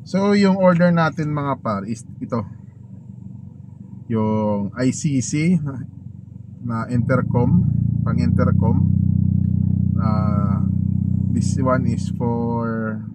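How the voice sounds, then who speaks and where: A man with a Filipino accent chatting casually as a motovlogger unboxing gear, informal and halting as he searches for words. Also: medium pitch. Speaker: motovlogger host. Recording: clearly noisy, vehicle and ambient noise.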